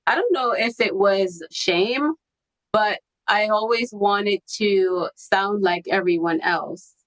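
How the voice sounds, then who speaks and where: A woman's voice: medium pitch, slow, fairly bright tone, low-quality recording. A woman guest on a podcast interview, telling her personal story in a candid, reflective way. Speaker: podcast guest, copywriting strategist.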